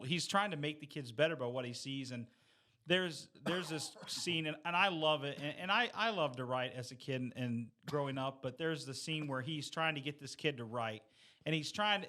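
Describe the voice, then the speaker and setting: Male, sharp bright tone, earnest conversational storyteller in a casual group discussion. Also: a little high. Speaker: discussion panelist; teacher.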